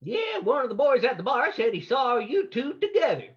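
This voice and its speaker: A man's voice: extremely high pitch, sing-song, normal speed, lo-fi sound. A man playing a terse, tough undercover investigator in an old-time radio drama. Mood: guarded, terse. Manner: terse, hard-boiled. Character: tough undercover man posing as a crook's partner.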